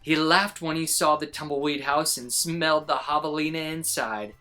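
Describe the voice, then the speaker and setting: A woman reading a children's picture book aloud as an expressive storyteller. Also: sits low, normal speed, faint music behind.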